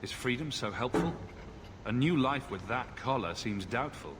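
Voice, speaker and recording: An actor voices a wry male adventurer in a fantasy game. His lines are short, dry and doubting, at a middling pitch. Long reverb and clear background noise surround him. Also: animated, normal speed, fairly bright tone, lightly acted. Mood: skeptical. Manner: terse, dry, challenging. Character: wry, skeptical adventurer for hire. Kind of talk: scripted fantasy video game dialogue.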